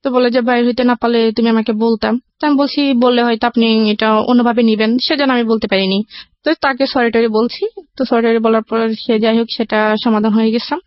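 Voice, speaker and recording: A woman's voice: a little high, steady tone, fast, dry recording. A young woman with a Bangladeshi accent telling their life story in casual, detailed conversation as a guest on a radio show. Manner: recounts in detail with reported dialogue. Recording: dull, lo-fi broadcast audio.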